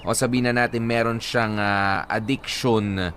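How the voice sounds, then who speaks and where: A man co-hosting a radio advice show, giving his opinion haltingly, with many pauses, and mixing in English phrases. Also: lowish voice, steady tone, a bit bright, slight background noise. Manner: hesitant, fills pauses, mixes English into talk. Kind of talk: casual commentary.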